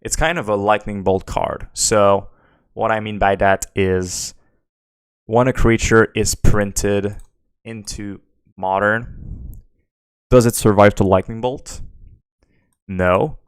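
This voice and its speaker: French canadian guy streaming a card game, low smooth voice, talks slow, chats with viewers. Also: steady tone, no room sound. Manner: thinks aloud while answering chat questions. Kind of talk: live gameplay commentary.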